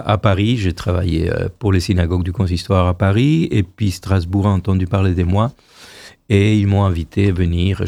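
Man, 60s, from Argentina, native Hebrew speaker, gravelly, dark tone, unhurried interview storytelling. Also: deep voice, no room sound. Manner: unhurried storytelling, repeats and restarts phrases. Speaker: choir director, guest. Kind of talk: radio interview.

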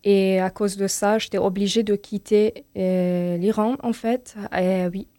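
A woman from Iran whose first language is Persian answers an interviewer in short, simple sentences. She is soft-spoken with a mellow voice, and the studio sound is dry. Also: mid-range voice, fairly even intonation, unhurried, dry recording. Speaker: guest.

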